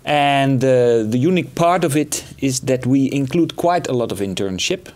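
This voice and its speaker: Man, measured programme manager. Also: mid-range voice, animated, darkish tone. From Netherlands. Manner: measured, hesitant with fillers, non-native phrasing. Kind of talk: panel answers, explanatory.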